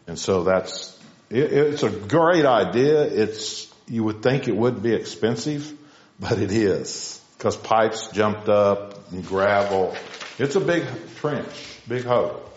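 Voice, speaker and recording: Builder, low voice, plain-spoken, lo-fi hall recording. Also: male voice, animated, slow pace. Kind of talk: explaining work at a public hearing. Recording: roomy, dull meeting-hall recording.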